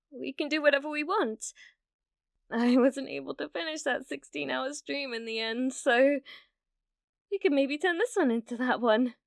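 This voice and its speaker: A British woman playing an obsessive, needy young female streamer in an ASMR roleplay, pleading and stammering, swinging between breathy whispers and outbursts. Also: high-pitched, dry recording, clean studio sound. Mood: excited, flustered. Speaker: ASMR voice actress.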